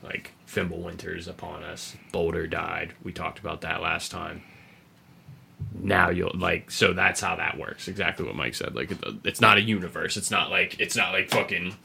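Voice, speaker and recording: A man hosting a podcast, explaining video game lore in a casual, rambling, sweary way, with a gravelly, husky voice. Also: sits low, fairly even intonation, moderate pace, sharp tone, a little hiss or hum. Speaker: podcast host.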